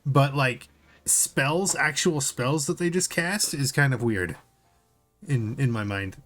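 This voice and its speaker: Man, medium pitch, casual podcast chat, hesitant sceptical manner, faint music behind. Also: takes it slowly, a bit bright. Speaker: podcast co-host reacting to a video.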